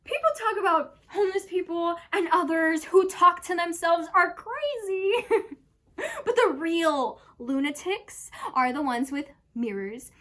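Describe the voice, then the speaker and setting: A woman's voice: very high-pitched, measured pace, a bit bright, pitched above the performer's own voice. A young woman playing a manic, unhinged storyteller in a theatrical dramatic monologue, erratic and breaking into laughter through her words. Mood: manic, giddy. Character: manic, unhinged young woman telling bitter absurd stories.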